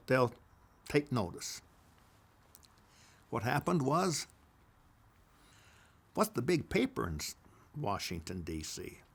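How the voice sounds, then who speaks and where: An older man tells stories from his own life, slowly and deliberately, driving points home by repeating himself. His voice is gravelly and his pitch moves a lot. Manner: deliberate, repeats phrases for emphasis. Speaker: storyteller recounting his life. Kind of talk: casual oral memoir.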